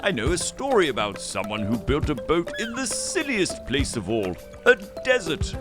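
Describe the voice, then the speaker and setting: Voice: mid-range voice, sing-song, normal speed, natural voice. A voice actor playing a kindly, encouraging grown-up storyteller character in a preschool cartoon. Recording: music playing behind.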